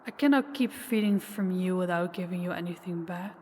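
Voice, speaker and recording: A woman's voice: lowish voice, fairly quick, a little mellow, big-room sound, slight background noise, lightly acted. A woman playing a menacing, possessive ancient female vampire in an intimate audio roleplay, taunting and commanding, sometimes dropping toward a whisper. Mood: commanding, cold threat. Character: possessive, menacing older female vampire, toying with captive huntress.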